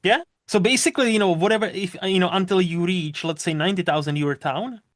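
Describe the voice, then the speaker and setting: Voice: mid-range voice, no echo, studio quality. A podcast host from the Republic, speaking English in a chatty, digressive way. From Czech Republic. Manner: chatty, digressive, quick to laugh. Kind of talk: casual chat.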